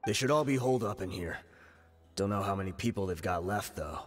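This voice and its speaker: Animated, loyal mercenary man voiced by an actor. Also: lowish voice, a little fast, in a large hall, faint music behind, close to an everyday voice. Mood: assured. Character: loyal, eager male mercenary comrade. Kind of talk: anime game voice acting.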